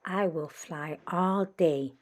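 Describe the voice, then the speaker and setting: A woman's voice: fairly low pitch, slow, darkish tone. Lady doing a little girl bat in a kids book, earnest and kinda confused. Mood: wistful.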